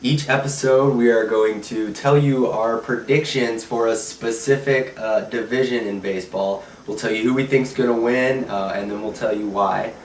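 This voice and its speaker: A man with a cold and a nasal, stuffed-up voice, co-hosting a casual sports chat and reading the news at a steady pace. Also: medium pitch, moderate pace, few pauses, a little hiss or hum. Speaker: podcast co-host. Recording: echoey room, mic at a distance.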